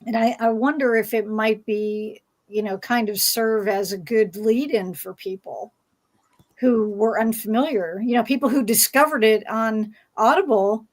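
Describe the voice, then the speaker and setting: A woman's voice: a little high, unhurried. A woman chatting casually as a fan panelist, in a conversational style. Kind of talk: casual chat.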